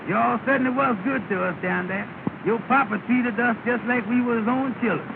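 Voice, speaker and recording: An actor playing an earnest, humble Southern cab driver in an early 1930s film comedy, with a folksy, plaintive, deferential manner. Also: male voice, very high voice, moderate pace, gravelly. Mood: delighted, wistful. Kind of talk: 1930 early sound film comedy acting. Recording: scratchy, tinny, noisy 1930 film soundtrack.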